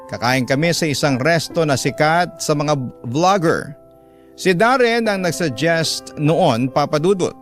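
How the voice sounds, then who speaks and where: A man with a Filipino accent narrating a love story in a scripted, emotional radio-drama style. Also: fairly high pitch.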